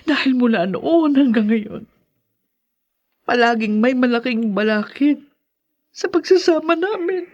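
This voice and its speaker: An actress playing a hurt, gentle woman about to marry a widower, in a radio drama. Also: high-pitched, animated, measured pace, long pauses, highs cut off. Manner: soft, pained, hesitant. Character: hurt woman Alicia, gentle and anxious bride-to-be.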